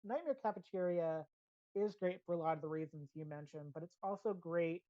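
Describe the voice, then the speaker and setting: A woman's voice: lowish voice, brisk, no room sound. An American woman hosting a podcast, talking casually and giving opinionated pop-culture reviews with chatty asides. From United States. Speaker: podcast host. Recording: slightly dull, band-limited audio.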